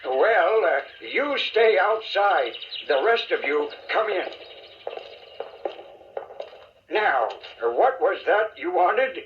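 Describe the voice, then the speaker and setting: A man playing a showy, secretly sly wizard in a children's audio story, with theatrical, drawn-out delivery and a muffled sound. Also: high voice, big pitch swings, a little hiss or hum, exaggerated voice. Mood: brusque, wary.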